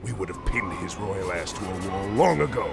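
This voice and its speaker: A very gravelly, low-voiced video game tyrant, snarls out commands with ruthless menace. His delivery swings widely and theatrically over loud music. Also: lively intonation, normal speed. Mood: furious, menacing. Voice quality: gravelly, growling. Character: menacing, ruthless older male tyrant baron. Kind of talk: dramatic video game villain acting.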